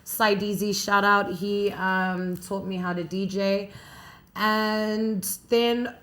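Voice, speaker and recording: A young South African woman, a radio DJ, telling her story on a podcast in an animated, laughing, casual way. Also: medium pitch, takes it slowly. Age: young adult. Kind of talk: casual interview chat.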